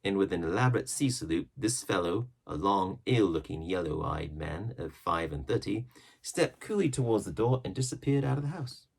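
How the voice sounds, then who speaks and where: Male audiobook narrator: deep, creaky voice, expressive delivery for classic adventure fiction. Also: moderate pace. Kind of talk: expressive scripted storybook narration.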